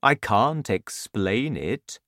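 A man voicing a big, gentle, friendly giant in a storybook reading, speaking slowly and humbly. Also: mid-range voice, lively intonation, no room sound, clean studio sound, heavily acted.

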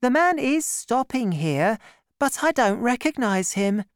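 A woman reading aloud and voicing a gentle, trusting young woman who talks in an eager, breathless way. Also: a little high, very animated, measured pace, no room sound, clean studio sound, voice pushed up. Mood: excited.

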